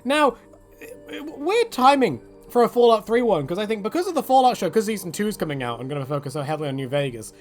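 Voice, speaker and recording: A man giving casual, rambling video game commentary in an animated way, with jokey exaggeration. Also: sits high, quick pace, soft background music.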